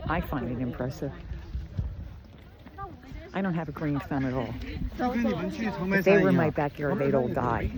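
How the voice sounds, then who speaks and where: A man's voice: fairly high pitch, low-quality recording. A man with a Philadelphia accent who rambles chattily while filming a travel vlog on a mountain trail, talking into a handheld phone. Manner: chatty, rambling, easily impressed. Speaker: travel vlogger. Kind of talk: casual walking vlog narration.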